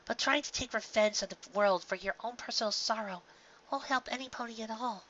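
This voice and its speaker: A woman voicing a gentle, grieving young pony mare in a fan audio drama, with a soft, earnest, emotional delivery. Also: fairly high pitch, brisk, close to an everyday voice. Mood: consoling. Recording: dull lo-fi home recording, clear background noise.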